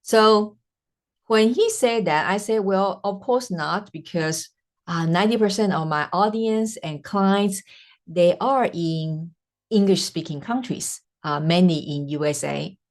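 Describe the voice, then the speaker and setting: Slow-paced Taiwanese-accented woman host. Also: on the low side. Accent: Taiwanese Mandarin. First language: Mandarin. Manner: earnest, enthusiastic, with frequent "uh" pauses. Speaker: podcast host. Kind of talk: personal storytelling monologue.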